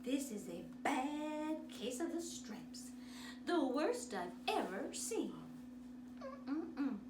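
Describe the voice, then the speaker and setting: Woman playing kindly old lady, storybook character, sweet and knowing, lilting pitch swings, roomy distant sound. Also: very animated, slow, heavily acted. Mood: gentle, knowing. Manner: sweet, musing, repeats words and hums. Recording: roomy, distant mic, noisy background.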